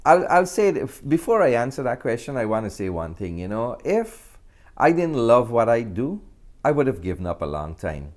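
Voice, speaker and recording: A man's voice: mid-range voice, very animated, normal speed. A middle-aged man with a Guyanese accent, talking earnestly and reflectively as an interview guest. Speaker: film director and actor, interview guest.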